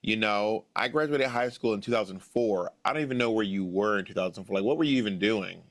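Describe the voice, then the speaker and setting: A man's voice: lowish voice, quick pace. An American man in his late thirties, an author answering in an interview with an earnest, musing manner and repeated phrases for emphasis. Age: 30s. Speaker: author guest.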